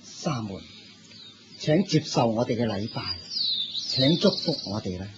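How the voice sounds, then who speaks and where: A man's voice: medium pitch, lively intonation, unhurried, natural voice. An actor with a Hong Kong Cantonese accent playing a plain-spoken, respectful and curious layman in a radio drama, colloquial and earnest. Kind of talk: Buddhist radio drama acting. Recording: muffled, noisy lo-fi radio recording.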